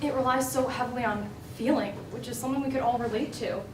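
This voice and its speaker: A woman's voice: fairly high pitch, steady tone, quick pace, lightly acted. A woman acting on stage as an opinionated, frustrated woman arguing her side earnestly and emphatically. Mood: earnest, insistent. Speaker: stage actor. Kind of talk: stage play dialogue. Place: indoor stage, recorded from afar. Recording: echoey stage recording, distant mic, noisy.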